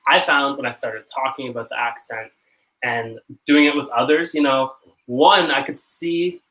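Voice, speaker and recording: A young North American man with a North American accent, chatting casually to camera on a live stream, rambling and self-conscious, with quick laughs. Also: mid-range voice, pushed and bright, rough recording. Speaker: channel host.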